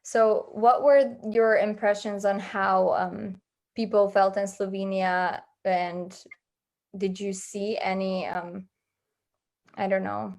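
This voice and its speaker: A woman hosts an interview in a conversational style. Her mid-range voice has strong creak and fry. She phrases her questions tentatively, with some hesitation. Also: mid-range voice, darkish tone. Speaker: interviewer, host.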